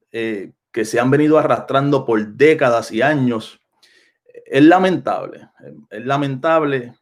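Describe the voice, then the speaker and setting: A man with a Puerto Rican Spanish accent, speaking as a pastor on an unscripted live stream. His voice sits at a middling pitch. He rambles, doubling back on phrases and restarting sentences as he goes. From Puerto Rico. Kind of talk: unscripted livestream talk to viewers. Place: home, Florida.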